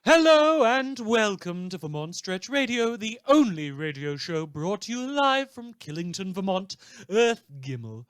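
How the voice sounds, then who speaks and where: A man playing a snide, theatrical pirate radio news host, reading mock bulletins with sarcastic, drawn-out emphasis. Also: high voice, sing-song, a bit bright, clear, resonant tone, no echo, clean studio sound, exaggerated voice. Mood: sardonic. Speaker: fictional radio show host.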